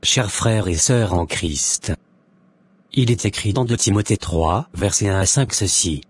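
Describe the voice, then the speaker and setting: Man acting low-voiced solemn devout convert, noisy recording. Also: a little slow, close to an everyday voice. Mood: solemn, exhorting.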